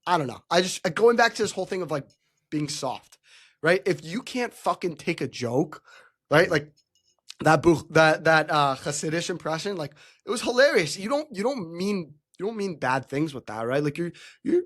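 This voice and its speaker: A young podcast host with a fairly high voice talks loosely and casually, padding his lines with likes and rights. He is quick to agree and keeps a relaxed, easy flow. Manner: chatty, many likes and rights, laughs easily. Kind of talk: casual chat.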